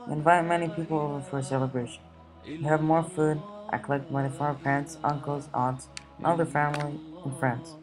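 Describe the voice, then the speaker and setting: A teenage boy from the United States reading his school essay aloud in a steady, plain way. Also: fairly high pitch, a little fast, over music, poor audio. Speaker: student.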